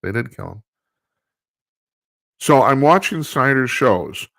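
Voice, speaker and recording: A man's voice: medium pitch, lively intonation, takes it slowly, gravelly, fairly bright tone, dry recording, studio quality. A man in his sixties hosting a radio talk show, chatting casually to listeners in a rambling, self-deprecating way with many restarts. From United States. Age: 60s.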